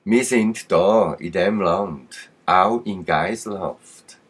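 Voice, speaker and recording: A man's voice: low-pitched, slow, breathy edge. A man with a Swiss German accent giving rambling commentary, emphatic and digressive, with uneven bursts. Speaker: channel commentator.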